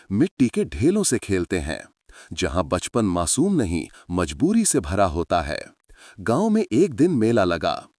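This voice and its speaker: A man's voice: sits low, a little mellow, no echo, studio quality. A man narrating a story in a dramatic scripted style, speaking to his listeners as friends.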